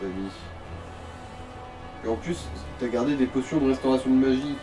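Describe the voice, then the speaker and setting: Distant man chatting over loud music. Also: medium pitch, moderate pace. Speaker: co-commentator advising the player. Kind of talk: casual gameplay chat.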